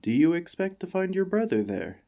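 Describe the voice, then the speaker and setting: A man playing an earnest, devoted warforged ex-gladiator in an ASMR roleplay, speaking to the listener with formal, courteous, gently hesitant phrasing. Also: sits high, very animated, brisk, creaky, full character voice. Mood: warm, tentative.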